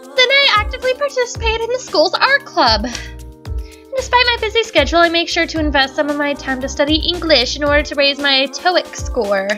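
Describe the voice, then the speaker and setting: A woman's voice: sits high, sing-song, moderate pace, pushed and bright, faint music behind. A young woman narrating a visual novel as a let's play host, reading dramatically and dropping sarcastic quips. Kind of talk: reading game text aloud with wry asides.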